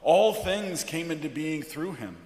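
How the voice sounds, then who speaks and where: A man, a pastor, speaking solemnly and deliberately from a church pulpit in a liturgical setting. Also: a little high, lively intonation, a little mellow. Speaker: Lutheran pastor. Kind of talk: liturgical scripture reading. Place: large church interior, from the pulpit. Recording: echoey church with long reverb.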